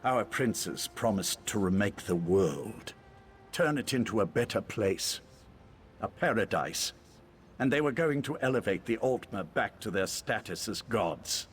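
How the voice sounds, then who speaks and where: A man playing a grieving, bitter elf father and former cultist in a video game, speaking in a measured, earnest way. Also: fairly low pitch, animated, slight background noise. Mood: solemn conviction.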